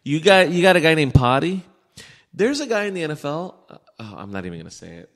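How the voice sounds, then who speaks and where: A man's voice: on the high side, big pitch swings, fast. A man hosting a sports podcast in casual, joking banter, with a blunt, crude and opinionated way of talking. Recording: echoey room with long reverb.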